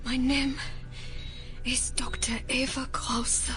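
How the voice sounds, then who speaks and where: A woman's voice: sits high, flat delivery, slow pace, a bit bright, soft background music. A woman playing a badly burned, frightened German archaeologist with a German accent, speaking weakly and breathily in pain. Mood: weak, haunted. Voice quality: breathy, weak whisper. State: in pain, weakened. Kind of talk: audio drama acting.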